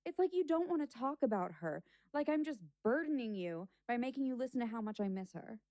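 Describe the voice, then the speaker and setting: A woman's voice: fairly high pitch, animated, brisk, warm tone, no echo, close to an everyday voice. A woman playing a talkative stage magician in a naturalistic audio drama, speaking earnestly and with emphasis. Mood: hurt, accusing.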